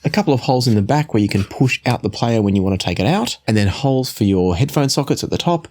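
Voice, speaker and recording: Measured man reviewing audio gear. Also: medium pitch, lively intonation, a little mellow. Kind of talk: product review to camera. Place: small indoor home studio room.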